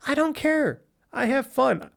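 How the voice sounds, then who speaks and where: A man with a raspy, nasal voice hosting a casual solo podcast on a USB microphone, rambling and correcting himself as he goes. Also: extremely high pitch, very animated, unhurried. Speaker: podcast host.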